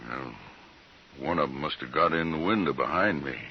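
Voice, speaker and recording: An actor playing a grim homesteader with a Western American drawl and halting folksy speech, in old radio western style. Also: male voice, extremely low pitch, slightly rough, exaggerated voice. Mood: defensive, weary. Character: homesteader Jim, grim slow-drawling frontier man. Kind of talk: 1950s radio western acting. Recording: muffled, noisy vintage radio recording.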